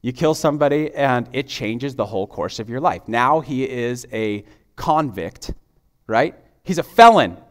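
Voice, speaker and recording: A man's voice: medium pitch, animated, unhurried. Man preaching in a reverberant hall. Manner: prays softly, then preaches with rising, emphatic intensity. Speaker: pastor. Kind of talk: sermon. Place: church stage, large auditorium.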